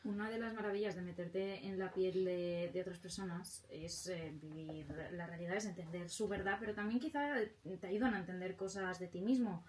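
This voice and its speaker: Spanish lady hosting an interview, voice a bit on the low side, conversational. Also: moderate pace, few pauses, darkish tone. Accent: Spanish from Spain. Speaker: interview host.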